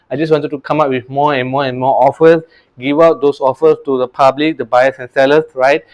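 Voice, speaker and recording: A man's voice: mid-range voice, smooth, rough recording. A man, a real estate coach telling his story in an earnest, casual way, often restarting words and adding "right?". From Singapore. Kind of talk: casual motivational talk to camera.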